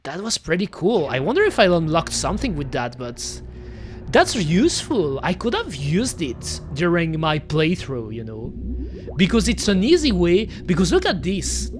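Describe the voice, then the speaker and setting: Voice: medium pitch, wildly swooping pitch, normal speed, soft background music. A streamer from Belgium with a Belgian accent, whose first language is French, chatting casually to viewers while playing and often saying "you know" and "pretty much". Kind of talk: casual gameplay commentary.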